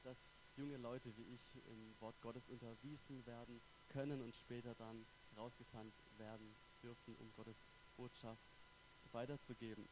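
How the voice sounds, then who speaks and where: A man's voice: mid-range voice, flat delivery, unhurried, noisy background. A young man from Stuttgart with a light Swabian accent, a theology student giving a sermon in a friendly, chatty way with frequent fillers. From Stuttgart, Germany. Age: young adult. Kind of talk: church sermon with personal introduction.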